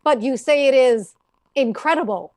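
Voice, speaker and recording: A woman's voice: sits high, moderate pace, a little mellow, natural voice. An actress playing a stern, composed woman coroner who questions formally in an old-time radio drama. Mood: stern, skeptical.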